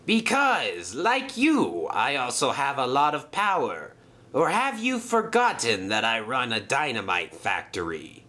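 A man's voice: medium pitch, very animated, measured pace, sharp tone. A man playing a sly, smug sailor villain who bargains and taunts with mocking menace in a children's story. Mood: boastful.

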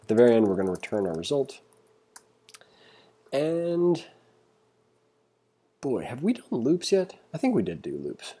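A man's voice: mid-range voice, wildly swooping pitch, measured pace, pauses often, warm tone, slightly rough. A man giving a casual coding tutorial, informally thinking aloud as he reads and explains. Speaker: programming instructor.

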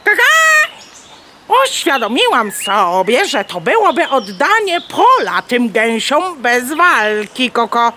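Bossy scheming hen, high-pitched, very lively intonation, clucking interjections, children's radio play. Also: female voice, slow pace, noisy background. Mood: determined, conspiratorial. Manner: clucks between words, declamatory. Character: bossy, clever mother hen, persuasive schemer. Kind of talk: children's audio drama acting.

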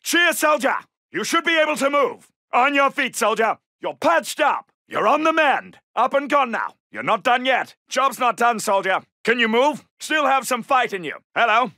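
An actor playing a brisk, gallant British Second World War soldier in a video game, with a clipped, commanding and formal manner. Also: male voice, extremely high pitch, very animated, pushed and bright, no room sound, studio quality, full character voice. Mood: encouraging. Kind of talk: military game battle barks.

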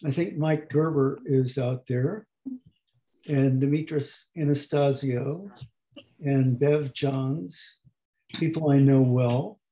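A man in his eighties lecturing slowly over a video call, with a hoarse, gravelly voice that is not fully distinct and that often pauses and restarts. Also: medium pitch, fairly even intonation, warm tone, poor audio. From United States. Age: 80s. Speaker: professor emeritus giving a talk. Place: home room at a desk. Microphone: webcam on a video call.

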